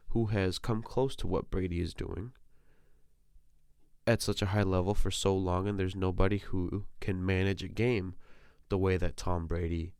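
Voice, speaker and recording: A man's voice: low voice, darkish tone, smooth, no room sound, clean studio sound. A young man hosting a solo sports podcast, talking casually and ranting, swearing freely, sounding like he is getting over a cold. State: recovering from a cold. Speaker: podcast host. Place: small compact room.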